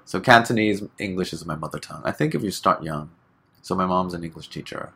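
Deep husky man, chatty and bright. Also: fairly quick. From Hong Kong. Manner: relaxed, chatty, laughs often, many fillers. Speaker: guest. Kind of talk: casual interview chat.

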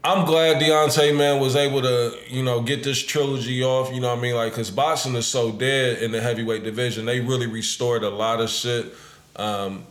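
A hoarse man hosting a casual podcast chat, talking in a laid-back, rambling way with lots of fillers. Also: mid-range voice, steady tone, normal speed, echoey room. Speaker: podcast host.

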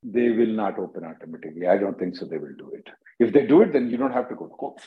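A man hosting a conference call as an immigration attorney, answering callers with brisk, probing questions and often repeating his phrases. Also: fairly low pitch, lively intonation. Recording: dull lo-fi conference call audio.

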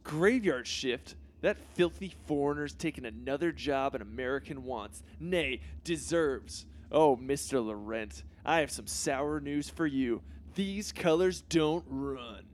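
A man's voice: mid-range voice, expressive, a little slow, hardly any pauses, lightly acted. A man playing a vain, self-pitying teenage boy superhero in a comedy sketch, talking in a pompous, oblivious way. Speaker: Bruce Wayne Jr.

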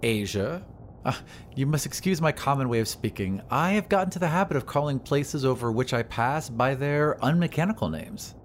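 A man's voice: medium pitch, animated, normal speed, slight background noise, natural voice. A man voicing a polite, apologetic and talkative woman airship attendant who is anxious to please.

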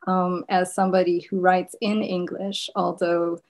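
Woman poet from Zimbabwe, first language Shona. Unhurried, reflective reading voice. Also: lowish voice, flat delivery, darkish tone. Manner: slow, thoughtful, with many ums. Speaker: poet and professor giving a reading. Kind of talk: poetry reading with talk about the poems.

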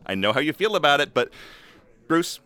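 Actor as bright, animated young soccer fan. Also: male voice, fairly high pitch, fairly quick, clear, resonant tone. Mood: teasing. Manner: bantering, animated. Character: cocky, passionate young soccer fan and joking buddy. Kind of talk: audio drama acting.

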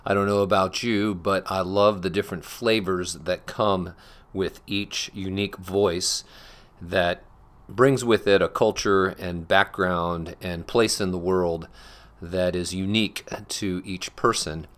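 A man introducing the next speaker in an earnest, measured way. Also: deep voice, steady tone, a bit bright. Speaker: presenter.

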